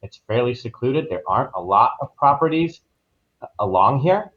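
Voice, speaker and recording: A man's voice: medium pitch, lively intonation, normal speed. A man speaking as an interview guest in a casual, informal way, on dull call audio. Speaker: podcast guest, travel professional.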